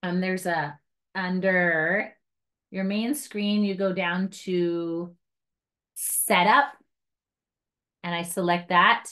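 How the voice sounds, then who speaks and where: A woman's voice: lowish voice, fairly even intonation, extremely slow, long pauses, fairly bright tone. A woman trainer walking guides through a device step by step in an informal, chatty way, with light self-mocking asides. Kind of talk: informal hands-on device tutorial.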